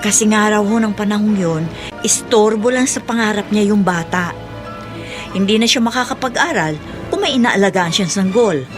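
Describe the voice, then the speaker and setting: A woman's voice: medium pitch, moderate pace, over music, natural voice. A Filipino woman playing a chatty, respectful teacher in a radio drama, telling things in a conversational, deferential way. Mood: confiding.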